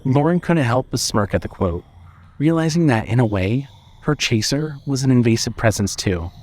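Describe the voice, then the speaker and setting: A man's voice: mid-range voice, animated, moderate pace, a little mellow. A man reading scripted horror fiction as the narrator of an audio drama.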